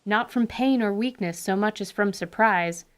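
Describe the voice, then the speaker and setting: A woman's voice: medium pitch, normal speed. A woman giving dramatic, scripted narration for a fantasy radio play. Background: music.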